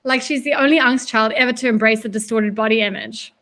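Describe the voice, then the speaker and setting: A woman's voice: a little high, steady tone, quick pace, a bit bright. A woman reading fiction aloud as a scornful, bored art snob, pompous and sarcastic in a drawl. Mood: weary disdain. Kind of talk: dramatised literary reading.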